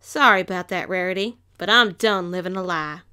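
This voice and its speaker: A woman voicing a blunt orange farm pony mare who speaks in a folksy country drawl, ranting loud and fed up. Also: fairly low pitch, a little fast, smooth, pitched below the performer's own voice. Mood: resigned.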